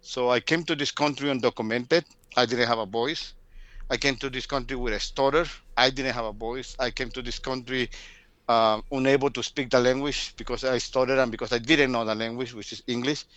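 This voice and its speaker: A man's voice: mid-range voice, steady tone, a little fast, a bit bright, no room sound. A man in his fifties from Guatemala, speaking English with a Spanish accent as an interview guest, sometimes repeating words with a mild stutter. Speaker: guest storyteller. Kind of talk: casual interview talk. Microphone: remote call.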